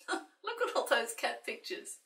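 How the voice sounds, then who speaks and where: A woman reading a children's picture book aloud in an expressive way, with playful, dramatic asides to young listeners. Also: sits high, slow pace. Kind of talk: expressive children's storybook narration. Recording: roomy, slightly distant microphone.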